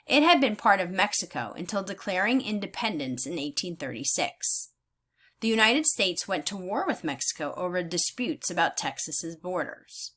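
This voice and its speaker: A woman teacher reading aloud in a deliberate, instructional way, pausing to explain her thinking as she goes. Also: lowish voice, sing-song, a bit bright. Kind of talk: read-aloud lesson with think-aloud comments.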